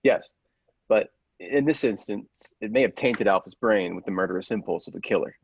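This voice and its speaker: A man playing a grave, persuasive scientist's assistant in a radio play, deliberate and theatrical, with secretive zeal. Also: fairly low pitch, creaky, muffled recording, a little hiss or hum. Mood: businesslike, explanatory. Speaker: podcast actor.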